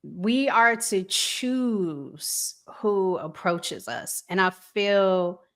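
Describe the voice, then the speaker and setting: A woman in a casual discussion, giving her view in a measured, deliberate way as a podcast panelist. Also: medium pitch, fairly bright tone.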